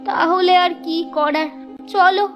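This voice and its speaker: A woman voicing a sick young village wife who sounds soft and tired. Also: very high-pitched, fairly even intonation, takes it slowly, highs cut off, faint music behind, pitched above the performer's own voice. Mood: weary.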